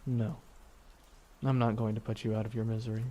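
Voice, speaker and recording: A man acting a weary, regretful supervillain in an ASMR audio roleplay, speaking slowly and reflectively with pauses. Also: on the low side, flat delivery, dark tone, highs cut off, natural voice. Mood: grave, gentle. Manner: slow, reflective, pausing delivery. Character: weary, regretful male supervillain beside his dying nemesis.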